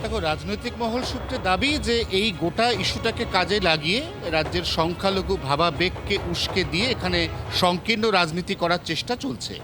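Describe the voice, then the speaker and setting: A man's voice: high-pitched, fairly quick, music in the background. A man with a West Bengal Bengali accent giving a live field report, explaining methodically and with emphasis. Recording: faint outdoor street noise.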